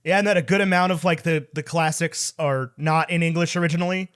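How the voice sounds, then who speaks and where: A man's voice: a little high, brisk, clear, resonant tone. A man co-hosting a casual movie review podcast, talking in a playful, rambling way as he loses his voice. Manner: animated, playful, rambling with restarts. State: losing his voice. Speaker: podcast co-host. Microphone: podcast mic.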